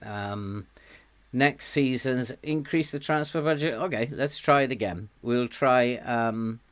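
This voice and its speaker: A man's voice: medium pitch, slow, clean tone. Man, game streamer, sore throat, hesitant thinking aloud, chuckling, mid-range voice. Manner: thinks aloud with frequent ums and soft chuckles, greets chat viewers. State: sore throat, losing his voice. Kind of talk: live-stream commentary while playing a management game.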